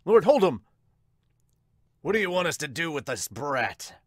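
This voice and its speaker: Bullying manga gang boss, acted by a man, high voice, very lively pitch. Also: measured pace, no room sound, clean studio sound. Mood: menacing. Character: bullying gang boss interrogating a captive girl.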